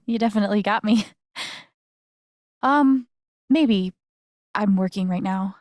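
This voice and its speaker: A woman's voice: medium pitch, slow, darkish tone, no echo, clean studio sound, natural voice. An actress playing a guarded, wry young woman in a video game, speaking in a casual, hesitant, understated way. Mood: wary, amused. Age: young adult. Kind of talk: naturalistic video game voice acting.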